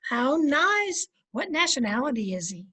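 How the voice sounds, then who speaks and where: Woman playing a high-voiced, cheerful wife. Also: very animated, a little slow, sharp tone, heavily acted. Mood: cheerful, patient. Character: patient, cheerful American wife, gently correcting. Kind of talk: old-time radio comedy acting.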